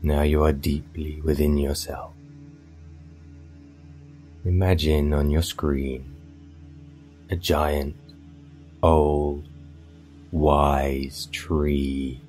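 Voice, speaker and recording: A man narrating a scripted guided meditation in a soothing, hypnotic, measured way with long pauses. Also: very deep voice, little pitch movement. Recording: voice over meditation music. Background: soft meditation music.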